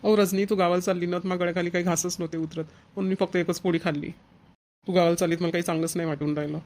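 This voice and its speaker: A man voices a young newlywed husband from a cartoon comedy, clingy and earnest. He speaks Marathi with a Varhadi accent, rattling along quickly with little melodic movement, reassuring again and again. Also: sits high, flat delivery, darkish tone. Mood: lovesick. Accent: Varhadi Marathi, Vidarbha. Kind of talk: cartoon family comedy dialogue.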